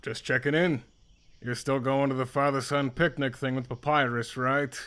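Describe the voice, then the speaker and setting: A man's voice: medium pitch, fairly even intonation, moderate pace, fairly bright tone, clear, resonant tone, natural voice. A man playing a laid-back, wry skeleton with a casual, dry, needling way of talking in a fan comic dub. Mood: casual, probing.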